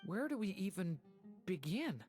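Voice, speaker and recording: A woman voicing a gentle, innocent young boy in a video game, earnest and friendly. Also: mid-range voice, big pitch swings, measured pace, soft background music. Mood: tentative.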